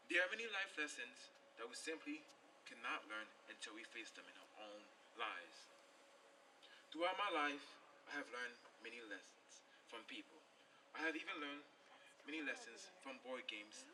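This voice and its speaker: A young man from Haiti, whose first language is Haitian Creole, giving an earnest graduation speech as class president with measured, dramatic pauses. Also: medium pitch, animated, sharp tone, distant mic, a little hiss or hum, poor audio. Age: young adult. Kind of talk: prepared speech. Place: large hall at a graduation ceremony.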